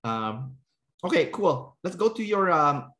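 A man's voice: on the high side, big pitch swings, takes it slowly, sharp tone. A man interviewing someone informally on a video call, explaining things in a conversational way and repeating phrases as he goes. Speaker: interviewer, personality trainer. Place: room at home, indoors.

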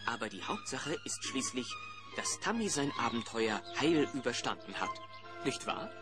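A man's voice: mid-range voice, sing-song, moderate pace, over loud music, close to an everyday voice. A man playing a chummy narrator, telling a scripted story to young listeners in a children's audio play. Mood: storytelling.

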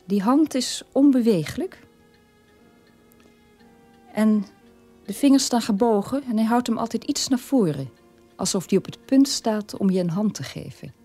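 An actress playing a sober middle-aged daughter of a war criminal, telling her past in a measured radio-play monologue with a husky voice. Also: mid-range voice, mellow, soft background music. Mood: sober, reflective.

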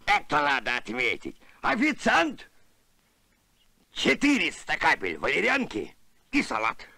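A man's voice: on the high side, wildly swooping pitch, measured pace, vocal fry, bright, forceful tone, no echo, heavily acted. A man voicing a big, emotional, sentimental alien archaeologist in a Soviet cartoon, theatrical and exclamatory. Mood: excitable. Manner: theatrical, exclamatory, swinging to self-pity. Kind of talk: Soviet animated film voice acting.

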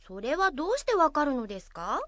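An inquisitive young girl voiced by a woman for a radio drama, high and very animated. She sounds admiring and curious, in a dry close recording. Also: no echo. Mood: polite questioning. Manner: inquisitive, drawn-out musing.

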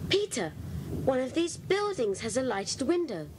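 A woman's voice: sits high, big pitch swings, unhurried. A woman playing a keen, observant schoolboy detective, earnest, in a children's audio drama. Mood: curious. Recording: noisy old cassette transfer.

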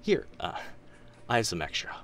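A young man playing a teasing, kind-hearted telepath in a boyfriend ASMR roleplay, with hesitant, chatty talk and soft asides. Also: normal speed, a little hiss or hum, lightly acted. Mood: friendly, awkward. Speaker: voice actor in audio roleplay.

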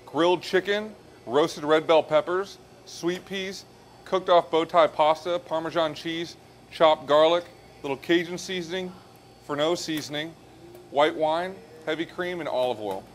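A man's voice: fairly high pitch, measured pace, slight background noise. A man in his thirties, a chef, talking through a pasta dish step by step in a restaurant kitchen. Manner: relaxed, explains each step as he cooks. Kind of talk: cooking demonstration. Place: restaurant kitchen by a hot stove. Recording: music playing under the voice.

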